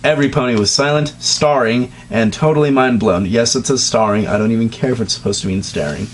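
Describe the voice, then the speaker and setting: A man hosting a comedic dramatic reading, reading the text aloud and breaking off into sarcastic, deadpan asides. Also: mid-range voice, fairly quick, slight background noise, rough recording. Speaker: reader and host.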